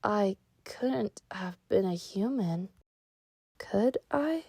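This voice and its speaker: A woman voicing an insecure young fox creature in a comic dub, apologetic, confused and halting. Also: mid-range voice, expressive, warm tone, breathy edge, no echo, exaggerated voice. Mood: doubtful. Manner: hesitant, halting. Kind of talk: animated comic dub acting.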